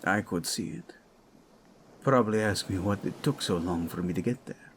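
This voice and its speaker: A man's voice: low-pitched, normal speed, slight background noise, close to an everyday voice. A man playing a weary king in an audio drama, dry and sarcastic, with faint ambience behind him. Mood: wry. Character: weary, sardonic king readying to rescue his wife.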